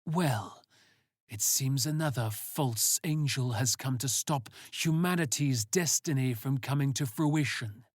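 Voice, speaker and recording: Stern cult leader, read by a male narrator, grandiose and solemn, drawn-out delivery, audiobook villain. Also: mid-range voice, dry recording, clean studio sound, close to an everyday voice. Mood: imperious.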